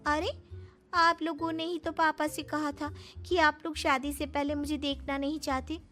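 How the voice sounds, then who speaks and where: A woman's voice: sits high, little pitch movement, normal speed, pushed and bright, soft background music. A woman voicing a young bride in a cartoon moral story, coy but ready to answer back. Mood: protesting. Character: young bride, shy yet cheekily matter-of-fact. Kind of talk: dramatized Hindi cartoon story acting.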